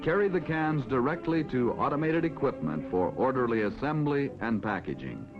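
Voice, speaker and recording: An American man reading scripted narration for a mid-century educational film in a formal, measured announcer style. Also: mid-range voice, lively intonation. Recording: dull vintage film soundtrack with music. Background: background music.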